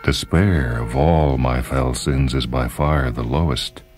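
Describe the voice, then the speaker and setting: A man's voice: a bass voice, takes it slowly, darkish tone. A man voicing a lonely, pious spinster of fifty for a radio story reading, declamatory and full of self-pity. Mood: mournful, self-pitying. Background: background music.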